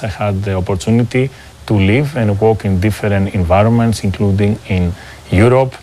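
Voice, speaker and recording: A middle-aged man with a Greek accent gives measured, formal answers as a business executive being interviewed. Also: lowish voice, darkish tone. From Greece. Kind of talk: prepared corporate interview answers.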